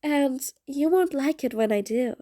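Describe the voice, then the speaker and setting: Woman acting a high-voiced, sardonic female supervillain. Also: animated, a little slow, warm tone. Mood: teasing, threatening. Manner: bossy, sardonic, threatening with grudging fondness. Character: mean but patient female supervillain, mocking and menacing. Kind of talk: scripted audio roleplay addressed to the listener.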